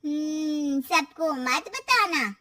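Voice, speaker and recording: A woman puts on an older neighbour auntie from a storybook drama. She is high-voiced and effusively friendly, with a sly, prying way of talking. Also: expressive, drawn-out words, pushed and bright, heavily acted.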